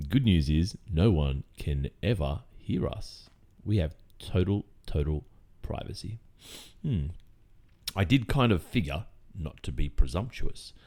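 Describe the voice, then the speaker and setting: Creepy, sweetly possessive Australian boyfriend played by a man for ASMR audio drama: unhurried, hesitant delivery with very changeable melody. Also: low voice, warm tone, full character voice. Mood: coaxing, smug. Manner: halting, drawn-out phrasing with hesitant murmurs and nervous chuckles. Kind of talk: ASMR roleplay, intimate monologue addressed to listener.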